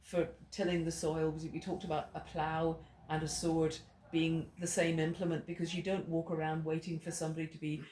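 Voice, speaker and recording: A woman's voice: low-pitched, little pitch movement, brisk, hardly any pauses, a little mellow. A middle-aged woman from Ireland teaching a small study group, digressing into stories with frequent restarts. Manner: digressive, storytelling, many restarts. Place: indoor room with a small group. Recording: roomy echo, faint noise.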